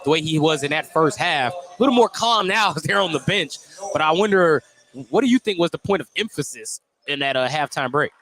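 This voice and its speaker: A man's voice: a little high, expressive, fairly quick, a bit bright. An American man doing live radio play-by-play of a basketball game. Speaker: play-by-play announcer. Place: indoor school gym during a game. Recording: noisy gym broadcast. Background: gym noise, bouncing basketballs.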